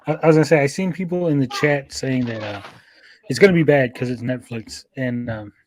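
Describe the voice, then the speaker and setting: A man speaking as a podcast panelist in a casual discussion, in a hesitant, measured manner. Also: medium pitch, darkish tone, slight background noise.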